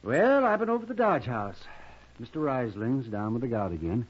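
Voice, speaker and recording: Raspy, excitable old-west town doctor. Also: male voice, mid-range voice, moderate pace. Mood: chatty, prodding. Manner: animated, swooping, chatty. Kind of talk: 1950s radio western acting. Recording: muffled 1950s radio recording.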